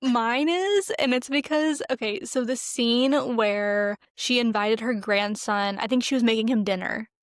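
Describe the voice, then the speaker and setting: A woman's voice: a little high, unhurried, few pauses, no room sound, clean studio sound. A young woman co-hosting a podcast, chatting casually and openly in informal, filler-heavy speech about films. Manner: informal, frequent "like", personal and open. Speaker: podcast co-host. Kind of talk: casual film discussion chat.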